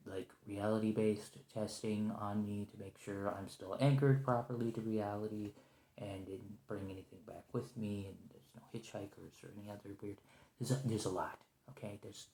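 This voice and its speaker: A man acting a stressed, weary captive special agent in an ASMR roleplay, rambling, halting and apologetic as he pleads, in a roomy recording. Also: lowish voice, gravelly. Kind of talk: ASMR roleplay monologue, improvised conversational acting.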